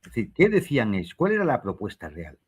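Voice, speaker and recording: A man's voice: medium pitch, wildly swooping pitch, rapid-fire, a little mellow. A man in a casual political discussion.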